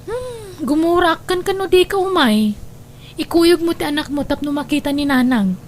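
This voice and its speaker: A woman's voice: very high voice, expressive, moderate pace. A woman playing a caring, soothing female relative in an Ilocano radio drama. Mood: coaxing.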